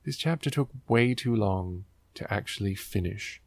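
Man, dry close recording, vocal fry, sarcastic host reading and critiquing, exasperated. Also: fairly low pitch, animated, a little slow, dry recording. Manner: snarky, exasperated, wry. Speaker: host of a book riffing show.

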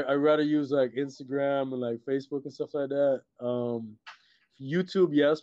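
Male interview guest, middle-aged, from Trinidad and Tobago; relaxed tone, halting casual delivery, dull video-call sound. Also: mid-range voice, no room sound. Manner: halting, repeats words, peppers talk with "you know" and "like". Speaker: guest, filmmaker and musician.

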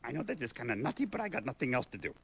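An actor playing an excitable, theatrical male sheep puppet who cackles and speaks in grand, old-fashioned phrases. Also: medium pitch, rapid-fire, creaky, slightly rough, exaggerated voice. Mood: chatty.

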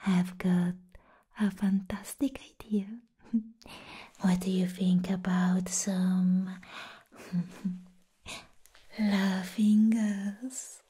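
A young woman playing a mad, playful villainess in a breathy, whispered ASMR roleplay, teasing and menacing. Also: fairly low pitch, dark tone, heavily acted. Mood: playful, scheming. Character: mad, playful female comic-book villain, giddy and menacing.

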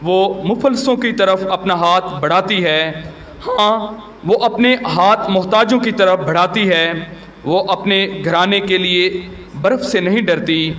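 Higher-pitched Pakistani pastor, formal and solemn. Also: male voice, unhurried, fairly bright tone. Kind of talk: formal church greeting and scripture reading. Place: church gathering. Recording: noisy live church recording.

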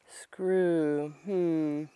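A young woman talking casually to herself while she works at a grassy campsite, slow and thinking aloud, a little congested from a long cold. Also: fairly low pitch, a little mellow, clear, resonant tone. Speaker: bushcraft vlogger. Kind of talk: casual talk while working. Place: outdoors at a grassy campsite under a tarp. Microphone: camera on a tripod a few meters away.

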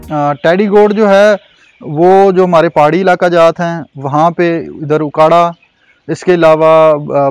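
A man in his thirties with a Pakistani Urdu accent, calmly explaining goat breeding and care at an outdoor goat farm, with frequent fillers. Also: on the high side, dry recording. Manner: measured and informative, with frequent fillers. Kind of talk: explanatory on-camera interview. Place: outdoors at a covered goat shed.

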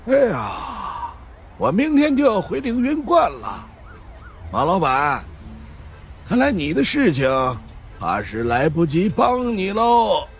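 Smug, drawling old monk. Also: male voice, high-pitched, huge pitch swings, slow, slight background noise, pitched above the performer's own voice, heavily acted. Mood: feigned reluctance. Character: smug old monk, self-styled master exorcist. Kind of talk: audiobook character acting.

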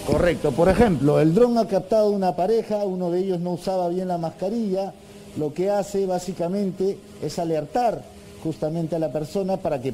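A man's voice: high voice, warm tone, low-quality recording. A man with a Peruvian accent reporting live from outdoors in a conversational news style. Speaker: field reporter. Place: open seafront park walkway. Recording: outdoor ambient noise.

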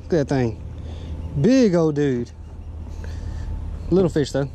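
A man's voice: fairly high pitch, very animated, takes it slowly, warm tone. A man in his twenties with a Southern US accent, chatting casually and folksily as a fishing vlogger, recorded outdoors. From Alabama, United States. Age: 20s. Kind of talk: casual vlog chat and commentary. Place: outdoors on a small boat on a river. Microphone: action camera, chest-mounted or at arm's length. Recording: noisy outdoor boat recording.

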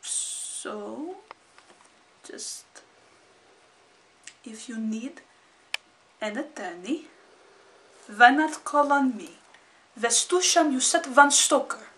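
A solemn female vampire attorney, played by a woman in an improv comedy bit. She talks very slowly and theatrically, as if each word weighs a lot. Her voice sits a little high. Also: lively intonation, a bit bright, a little hiss or hum, full character voice. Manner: slow, drawn-out, theatrical delivery.

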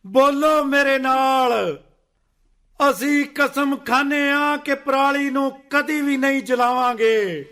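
An actor playing a stubborn old Punjabi farmer in a radio drama, with a theatrical, emphatic delivery. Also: male voice, very high voice, slow pace, full character voice. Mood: grief-stricken, resolute. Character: stubborn old Punjabi farmer grandfather, sardonic, later grief-stricken. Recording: radio play with loud music bed.

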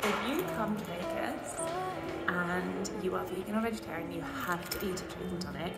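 Woman, 30s, casual travel vlogger, handheld phone sound, music bed loud. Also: fairly low pitch, moderate pace, vocal fry. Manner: chatty and upbeat, with fillers. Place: hotel pools, casino halls and a hotel room. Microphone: phone at arm's length.

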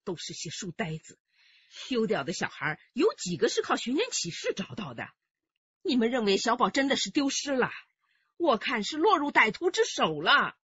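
An actress playing a stern grandmother in a radio drama, sharp, reproachful and commanding. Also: a little high, huge pitch swings, normal speed, slightly rough, no room sound, muffled recording, exaggerated voice. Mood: scolding. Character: stern, scolding grandmother, decisive family matriarch.

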